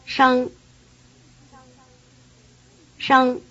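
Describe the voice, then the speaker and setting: A woman giving model answers on a language tape, slow and deliberate. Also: high-pitched, completely flat delivery, a bit bright. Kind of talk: drill responses. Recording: dull lo-fi tape recording.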